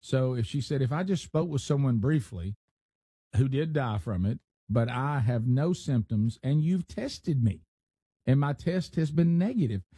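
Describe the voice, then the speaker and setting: A male talk radio host reads a news story aloud and stops often to comment on it. His voice is warm and mellow. The sound is dry and close. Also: mid-range voice, animated, measured pace, slightly rough, dry recording. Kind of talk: casual talk radio banter.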